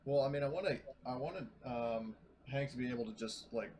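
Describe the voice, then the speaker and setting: A man's voice: mid-range voice, a little fast, a little mellow, a little hiss or hum. A man playing a tabletop game, chatting casually with the others as he decides on moves.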